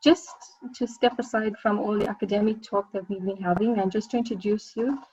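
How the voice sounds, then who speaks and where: A woman's voice: medium pitch, fairly even intonation, fairly quick, a little mellow, dull audio, slight background noise. A South African woman, a senior lecturer, giving an academic presentation on a video call with frequent ums and uhs. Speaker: senior lecturer, webinar panelist.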